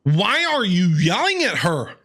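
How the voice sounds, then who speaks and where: A woman's voice: lowish voice, big pitch swings, measured pace, a bit bright, husky. A woman streamer giving playful, heckling reaction commentary while playing a game.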